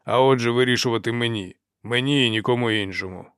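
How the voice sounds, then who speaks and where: A man voicing a steady, stubborn warrior chief in an audiobook, speaking in a measured, firm manner. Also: medium pitch, clear, resonant tone, no room sound, clean studio sound, close to an everyday voice. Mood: unyielding.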